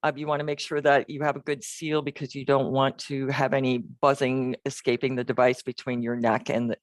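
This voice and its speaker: A man's voice: medium pitch, dry recording. A man co-presenting an instructional session over a video call. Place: video call with screen share.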